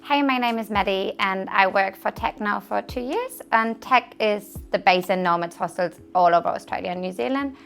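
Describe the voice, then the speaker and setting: A woman's voice: medium pitch, normal speed, vocal fry, hardly any pauses, a bit bright, soft background music. A woman from Germany, talking chattily and casually as a hostel receptionist. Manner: chatty, acts out small examples. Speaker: hostel receptionist and travel agent. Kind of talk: casual testimonial.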